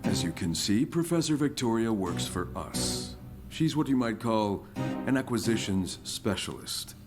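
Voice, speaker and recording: An actor playing a stern, middle-aged military commander in an audio drama, formal and commanding. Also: male voice, fairly low pitch, lively intonation, a little slow. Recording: music behind the voice.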